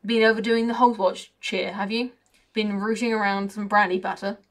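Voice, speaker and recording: A woman voicing a cynical, chatty male raven who grumbles in rough, colloquial speech. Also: medium pitch, flat delivery, moderate pace, lightly acted. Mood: sardonic.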